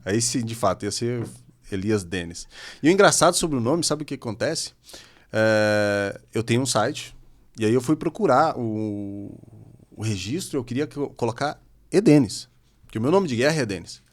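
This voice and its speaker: A man's voice: medium pitch, lively intonation, moderate pace. A man in his forties from Minas Gerais with a Curitiba accent, a police officer telling his story as a podcast guest with easy humor. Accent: Paraná (Curitiba). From Minas Gerais, Brazil. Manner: storytelling, conversational, self-deprecating humor. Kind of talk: casual podcast chat.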